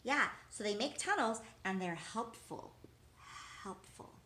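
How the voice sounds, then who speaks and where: A woman with a slightly high voice leads an interactive lesson for young children at a very slow pace. She poses simple questions and answers them herself as though children had replied. Her manner is encouraging, and she repeats key words deliberately. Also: expressive, bright, forceful tone. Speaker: teacher.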